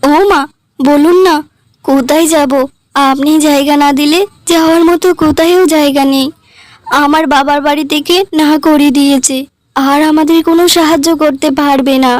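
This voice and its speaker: A woman's voice: high voice, fairly even intonation, moderate pace, no room sound, lightly acted. A woman voicing a jealous, scheming young daughter-in-law, complaining dramatically in a cartoon. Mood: pleading. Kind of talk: cartoon dialogue.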